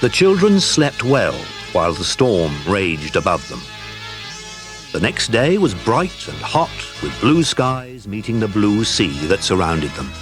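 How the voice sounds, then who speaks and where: A man playing the narrator of a children's adventure, telling the story in a measured, expressive way. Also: fairly low pitch, over music. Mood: storytelling.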